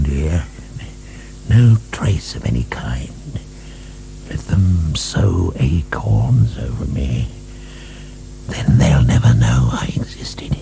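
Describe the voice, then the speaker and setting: An actor playing an embittered old marquis in a radio drama, sardonic and theatrical, his gravelly, breathy voice often dropping to whispers. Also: low voice, full character voice. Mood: feverish, pleading. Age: older. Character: aging, embittered, feverish male libertine marquis. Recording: soft recording with background hum.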